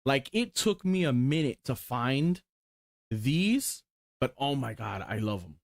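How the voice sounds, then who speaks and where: Man, 40s, casual rambling streamer, excitable, salty language, dry close sound. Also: medium pitch, slow pace, gravelly, no echo. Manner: rambling, excited, swears casually, lots of ums. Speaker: sim racing streamer. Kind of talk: casual chat while showing cars.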